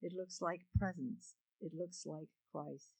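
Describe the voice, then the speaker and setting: A man's voice: a little high, unhurried, gravelly, dry recording, poor audio. A man reading a script plainly and unhurriedly, with a small slip now and then. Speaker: reader.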